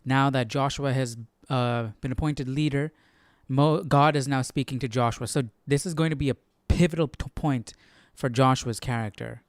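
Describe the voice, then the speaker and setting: A man's voice: medium pitch, fairly even intonation, moderate pace, dry recording, clean studio sound. A man hosting a livestream study session, commenting in a casual, informal way and often checking in with listeners. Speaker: host of a Bible study livestream.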